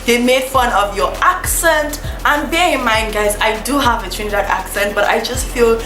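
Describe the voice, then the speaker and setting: A woman's voice: on the high side, normal speed. A young woman from Nigeria with a Nigerian accent, telling her story to camera in a casual, chatty way, full of fillers and restarts. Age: young adult. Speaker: vlogger. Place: small indoor room, seated before a plank backdrop. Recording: echoey room with music behind.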